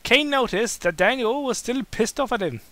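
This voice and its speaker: A man's voice: very high voice, animated, normal speed, a bit bright, dry recording. A man giving a comic amateur dramatic reading of fan fiction, stumbling over words and breaking off to heckle the text with swearing.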